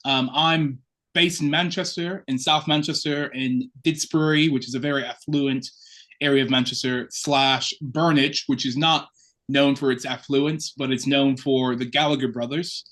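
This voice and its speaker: A man's voice: medium pitch, steady tone, normal speed, fairly bright tone. A man speaks as a guest on a podcast. He tells his story in an open, reflective way, in the easy manner of casual conversation. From Chicago, United States. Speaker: assistant curate, guest. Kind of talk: casual interview talk.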